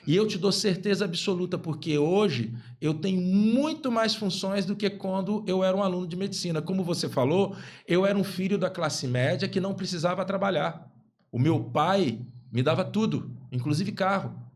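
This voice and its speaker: A man in his fifties, a guest physician on a podcast, speaking emphatically and repeating key phrases. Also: high-pitched. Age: 50s. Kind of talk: podcast interview talk.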